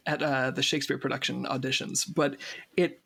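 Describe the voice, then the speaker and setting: A man speaking as a cast member in a casual interview, telling stories in a jokey, animated way. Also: medium pitch, a little fast, creaky.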